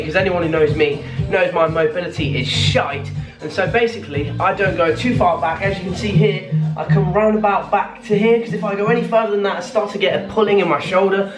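Young man, British accent, high voice, enthusiastic informal workout vlogging, echoey garage gym with music. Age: early 20s. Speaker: fitness vlogger. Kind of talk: casual workout vlog explanation. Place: small brick garage home gym, indoors. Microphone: camera at arm's length or propped nearby. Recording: echoey small room, music behind.

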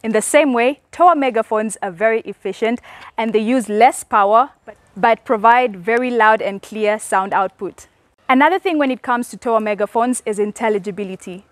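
Young woman doing a scripted product explainer, measured, really dry sound. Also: a little high, no echo. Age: young adult. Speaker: product video presenter. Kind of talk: scripted presentation to camera. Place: outdoors in a leafy garden.

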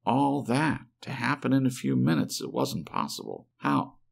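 A man's voice: medium pitch, huge pitch swings, moderate pace, gravelly, voice pushed up, full character voice. A man voicing a weary, desperate male druggist who mutters in a strained way. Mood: dazed.

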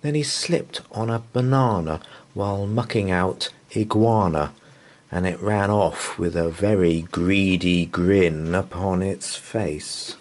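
A man's voice: low voice, a little hiss or hum. A man reading a children's bedtime story aloud in a playful, theatrical way. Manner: playful, theatrical, drawn-out delivery. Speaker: storybook reader.

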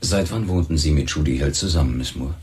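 An actor playing a calm, probing police lieutenant in a crime radio drama, questioning in a measured, matter-of-fact way. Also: male voice, very deep voice.

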